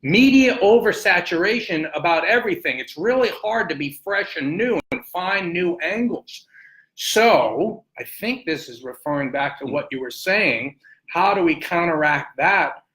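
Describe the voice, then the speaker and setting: A man's voice: high voice, animated, measured pace, a bit bright, poor audio. A man hosting a conversational sports interview, talking in a wordy, roundabout way. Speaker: podcast host interviewer.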